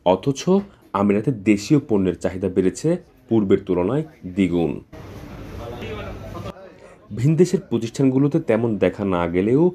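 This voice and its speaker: A man's voice: lowish voice, animated, normal speed, pauses often, warm tone. A man reading scripted news narration as a reporter.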